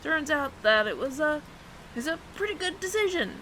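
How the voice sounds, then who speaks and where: A woman's voice: high-pitched, expressive, fairly quick, sharp tone, slight background noise, low-quality recording. A woman voicing a friendly, flustered alien roommate who passes as human, speaking to the listener in a hesitant, stammering, earnest ramble in an audio roleplay. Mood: wistful, candid.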